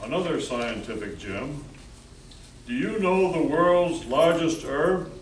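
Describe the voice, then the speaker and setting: A man with a fairly high voice announces as host of a radio play. He speaks very slowly from some distance in an echoey room. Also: animated. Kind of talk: scripted announcing to a live audience. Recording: echoey room, distant mic, faint noise.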